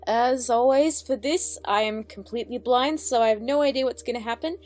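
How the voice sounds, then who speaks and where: A woman's voice: fairly high pitch, fairly quick, darkish tone, soft background music. A woman giving casual, off-the-cuff commentary as the host of an online reaction.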